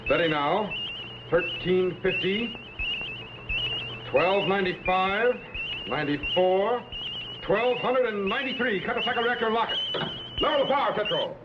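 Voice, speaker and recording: A man acting a commanding time-travelling captain in an old sci-fi serial, giving clipped, steady orders on a dated recording. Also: high voice, normal speed, long pauses, very noisy, natural voice. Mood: commanding, focused. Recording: dull old broadcast recording with loud music.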